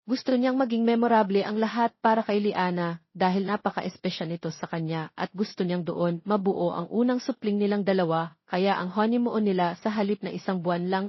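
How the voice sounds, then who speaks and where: A woman narrates a scripted drama. Her pitch sits in the middle range for a woman. The sound is very dry and somewhat dull, with little top end. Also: fairly quick, no echo. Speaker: audio story narrator.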